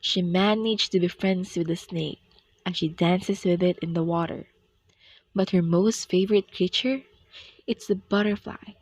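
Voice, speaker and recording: Husky young woman narrating a story. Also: medium pitch, a little mellow, no echo, rough recording. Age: young adult. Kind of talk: scripted storytelling narration for radio drama.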